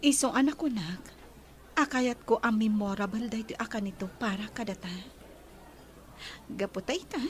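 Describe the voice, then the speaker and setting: An actress with an Ilocano accent playing a gentle young woman in love, speaking softly and earnestly. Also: fairly high pitch, moderate pace, long pauses, slight background noise, natural voice. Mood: tender.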